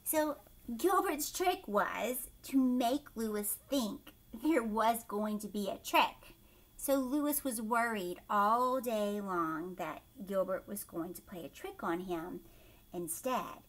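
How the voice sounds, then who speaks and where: A woman reading a children's story aloud like a teacher, expressively, pausing to explain and ask young listeners questions. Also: mid-range voice. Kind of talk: animated children's read-aloud with explanatory asides.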